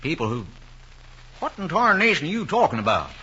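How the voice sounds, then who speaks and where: An actor playing a good-natured small-town sheriff with a husky voice and a Southern American accent, in old-time radio drama style. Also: male voice, a little high, big pitch swings, fairly quick, bright, forceful tone, exaggerated voice. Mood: amused, puzzled. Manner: folksy, easygoing. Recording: muffled old radio recording.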